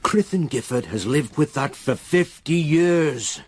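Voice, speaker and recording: An actor playing a grave, reluctant local countryman in a radio drama, with a solemn, halting way of telling a dark story. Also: on the high side, animated, breathy edge, dry recording. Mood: reluctant, sombre.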